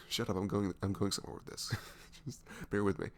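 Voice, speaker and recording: A man playing a goofy young best friend in an ASMR roleplay, halting and mock-professional. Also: fairly low pitch, fairly even intonation, slight background noise, close to an everyday voice. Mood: mock-serious, playful.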